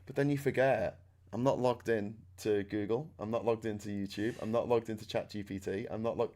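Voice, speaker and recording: A middle-aged man with a Scouse accent, hosting a casual chat in a loud, excitable way and laughing often. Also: lowish voice, a little fast. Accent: Liverpool (Scouse). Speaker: host.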